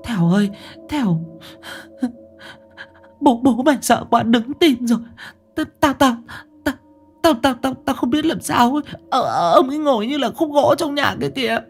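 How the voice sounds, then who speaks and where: A man's voice: high voice, very animated, moderate pace, soft background music, voice pushed up. A man voicing a panicked old village wife, stammering and breathless.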